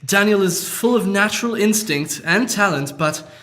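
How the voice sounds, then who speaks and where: Man playing formal tutor, accented, polite, solemn, high voice. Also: animated, moderate pace, pushed and bright.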